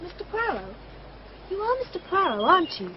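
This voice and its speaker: A twelve-year-old girl in a radio mystery, dreamy yet candid and precise. The voice is high and lilting, on a dull recording. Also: big pitch swings, brisk. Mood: forthright. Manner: matter-of-fact, precise, childlike. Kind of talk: radio drama acting. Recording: dull lo-fi radio drama, noisy.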